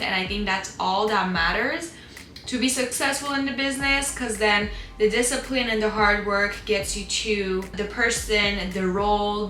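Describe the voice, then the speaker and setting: A woman's voice: medium pitch, pushed and bright, reverberant, a little hiss or hum. A woman in her twenties from Iran with an Armenian accent, chatting casually to her vlog audience while doing her makeup, full of "like" fillers. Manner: chatty, frequent "like" fillers, candid. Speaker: vlogger. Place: at home, getting ready.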